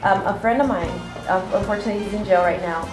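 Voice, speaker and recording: A woman's voice: medium pitch, quick pace, over music. Chatty young woman in an echoey studio. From California. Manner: open, chatty, many fillers. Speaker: pageant titleholder guest. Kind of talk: casual talk show interview.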